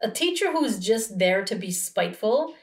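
A woman's voice: medium pitch, animated, normal speed, roomy sound, recorded from a distance. A woman in her thirties, a teacher, giving firm opinions on a casual podcast. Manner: opinionated, explains and sums up. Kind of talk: casual chat. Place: small room at home, indoors.

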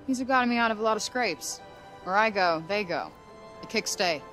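An actress playing a brash, sardonic young adventurer in a video game, with a casual, slangy, sarcastic way of talking. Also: female voice, medium pitch, expressive, fairly quick, lightly acted. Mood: wry. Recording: music behind the voice.

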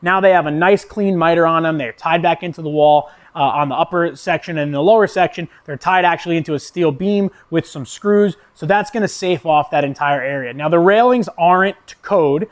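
Brief: male welder, 30s, practical vlog narration, matter-of-fact, a touch high-pitched. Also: a little fast, few pauses. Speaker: welder and shop owner. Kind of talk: explanatory how-to narration. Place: metal workshop, indoors.

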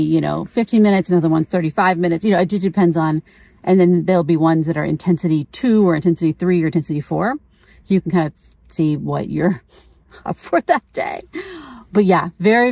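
A woman's voice: mid-range voice, a little mellow, no room sound. A middle-aged woman chatting casually as a vlogger, informal and candid, often thinking aloud. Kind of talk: casual chat.

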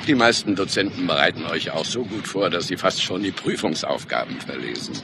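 An actor playing a blunt, sardonic police detective in a crime radio play, brusque and needling in manner. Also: male voice, low-pitched, expressive, a little fast, creaky, background noise.

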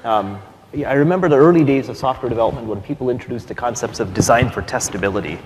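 A man's voice: medium pitch, fast talker, a little mellow. Man answering on a conference stage. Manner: thoughtful, with many fillers and restarts. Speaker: panelist, software developer. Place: large conference hall stage. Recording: long hall reverb, faint noise.

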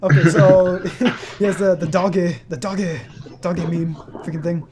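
A man's voice: sits high, fairly even intonation, normal speed, crowd noise. A seventeen-year-old young man hosting a casual question-and-answer chat on his channel, rambling with many fillers and laughs. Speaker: channel host.

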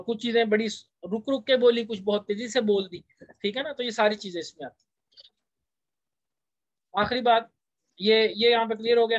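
A man's voice: very high voice, no room sound. Man teaching, dull lo-fi recording. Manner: explanatory, slows down when reading English phrases. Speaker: teacher lecturing online. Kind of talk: informal lecture, reading English text and explaining it.

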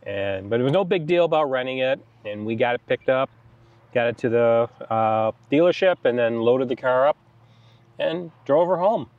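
A man's voice: mid-range voice, expressive, normal speed, phone-quality sound. A middle-aged American man casually recounting a trip as a travel vlog host, speaking outdoors in the open air.

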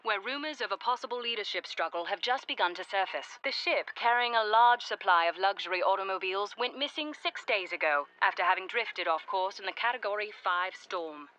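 A woman playing a formal, composed British news anchor in a video game, reading a scripted bulletin in a measured, clearly articulated way. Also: mid-range voice, pushed and bright, natural voice, poor audio. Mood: composed, serious.

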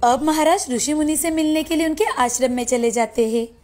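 A woman's voice: high voice, brisk, mellow. A woman narrator reading a scripted folktale. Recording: music bed behind the voice.

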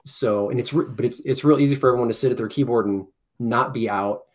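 A man's voice: mid-range voice, fast talker. Rambling man on a video call. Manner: rambling, self-correcting, many restarts. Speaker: podcast guest. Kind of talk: casual chat. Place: home room with posters. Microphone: headset and desk mic, video call.

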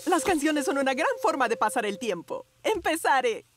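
An upbeat young pug puppy in a children's cartoon. The voice sits high and lively, sing-song and cheerful in tone. Faint music plays under it. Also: female voice, moderate pace. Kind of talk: Spanish cartoon dub. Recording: music track behind.

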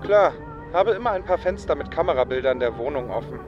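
Man acting ailing shut-in, withdrawn, hesitant, flat, audio drama, music behind. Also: fairly high pitch, a little fast, dull audio, natural voice. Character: withdrawn, anxious, ailing brother living in cyberspace. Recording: faint music bed.

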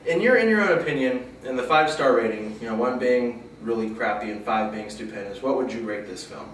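A young man hosting a casual movie review, loose and chatty, often trailing off low. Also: fairly quick, fairly bright tone. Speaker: host of a movie review show. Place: small indoor studio with a poster backdrop. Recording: echoey room, mic far off, faint hiss.

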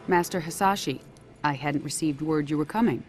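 An actress playing a stern, authoritative military general in a video game, clipped and commanding. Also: female voice, low voice, a little fast, music in the background, natural voice. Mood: stern, guarded. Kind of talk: dramatic video game cutscene acting.

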